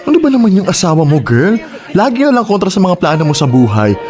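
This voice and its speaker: An actor with a Filipino accent playing a sassy, outspoken, protective friend in a Tagalog radio drama. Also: male voice, high-pitched, sing-song, quick pace, a little mellow. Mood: indignant. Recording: music under the voice.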